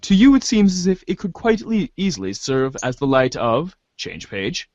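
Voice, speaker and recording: A slightly high-voiced man reads a story out loud with theatrical flair, tripping over phrases and restarting. The recording is dry and somewhat muffled. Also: sing-song, normal speed, no echo. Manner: theatrical, often stumbles and restarts while reading. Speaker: narrator in a fan readers' theater show. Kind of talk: dramatic read-aloud of a webcomic.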